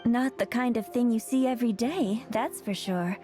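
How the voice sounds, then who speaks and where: A woman's voice: fairly high pitch, moderate pace, close to an everyday voice. A woman playing a polite, earnest young female shop worker in a video game. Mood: earnest, helpful. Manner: courteous, earnest. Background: game music.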